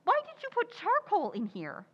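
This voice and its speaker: A high, swooping voice in a dull, echoey hall recording. A woman plays a flustered young housewife from a vintage radio comedy, always ready with a sarcastic crack. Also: huge pitch swings, fairly bright tone, exaggerated voice. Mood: perky, puzzled. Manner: quick sarcastic comebacks. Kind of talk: old-time radio sitcom acting. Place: community theatre stage radio recreation.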